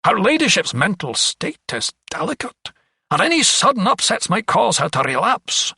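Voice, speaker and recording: Male narrator as haughty old asylum doctor; raised pitch, bright blustering delivery, storybook period drama. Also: very animated, normal speed, vocal fry, breathy edge, no room sound, clean studio sound, full character voice. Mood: arrogant, curt. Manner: condescending, blustering. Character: elderly Scottish male physician, arrogant, dismissive asylum principal.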